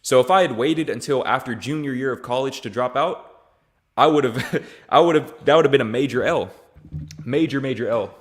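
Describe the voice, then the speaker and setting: A young man answering his viewers' questions in a loose, easygoing way. He speaks at an ordinary, mid-range pitch. His slang and repeated phrases keep it sounding like a chat with a friend. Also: moderate pace. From Louisiana, raised in Texas. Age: young adult. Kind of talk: casual Q&A vlog.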